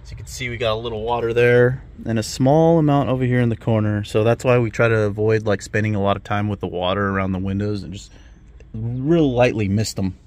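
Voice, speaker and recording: A man chatting casually outdoors, relaxed and matter-of-fact, explaining things as he goes. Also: on the low side, a little fast. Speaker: vlogger. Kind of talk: casual chat. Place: outdoor parking lot by a vintage car.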